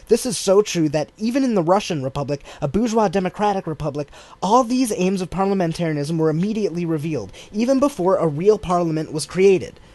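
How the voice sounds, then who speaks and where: A man reads a political book aloud for an audiobook, his voice a touch higher than most men's. His delivery is measured and emphatic, leaning hard on key phrases. The sound is dry and close, with no room around it. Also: a little mellow, dry recording. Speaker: audiobook reader. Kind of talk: reading a political text aloud.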